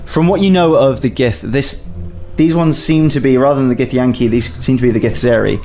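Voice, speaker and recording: A man narrating a tabletop game as a first-time game master, pausing often with uhs and restarts. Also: medium pitch, darkish tone, slight background noise. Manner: hesitant, lots of uhs and restarts.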